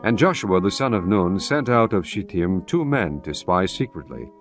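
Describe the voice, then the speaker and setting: A man narrating scripture in a solemn, measured way. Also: deep voice, animated, soft background music.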